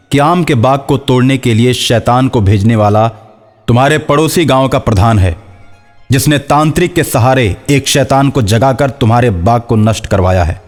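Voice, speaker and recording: A man narrating a scripted horror folk story as a storyteller. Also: mid-range voice. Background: faint music.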